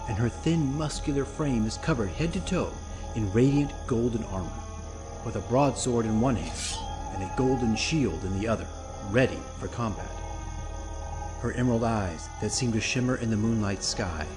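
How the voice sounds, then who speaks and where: A man narrating a scripted fantasy radio drama in a measured, theatrical storytelling manner. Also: mid-range voice. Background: loud music with night insect sounds.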